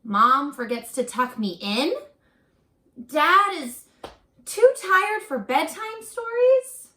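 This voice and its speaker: A woman reads a children's picture book aloud in a slow, very bright voice, like a teacher speaking straight to her pupils. She is expressive and encouraging, stopping for little questions and asides. The room adds a noticeable echo. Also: high voice. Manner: encouraging, expressive, talking directly to young children. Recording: roomy echo.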